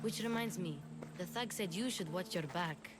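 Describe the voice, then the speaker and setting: A woman's voice: lowish voice, normal speed, background noise, lightly acted. A woman voicing a carefree, plucky young girl in a video game. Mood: breezy.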